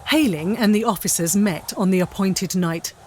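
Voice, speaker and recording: A woman giving measured, literary narration for a dramatised story. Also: medium pitch, a little mellow, slight background noise.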